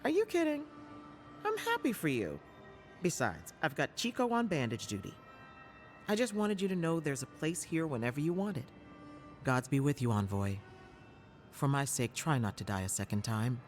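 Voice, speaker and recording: Blunt, lively-voiced field healer. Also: female voice, low-pitched, normal speed, long pauses, soft background music. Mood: fond. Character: blunt, scolding but caring field healer woman. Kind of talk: dramatic video game cutscene acting.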